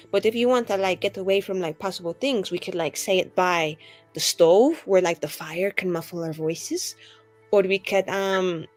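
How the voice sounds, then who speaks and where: A woman's voice: medium pitch, voice pushed down, lightly acted. A woman voicing a chatty, naive, good-natured elf in a tabletop roleplay, rambling playfully. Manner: rambling, filler-heavy, playful.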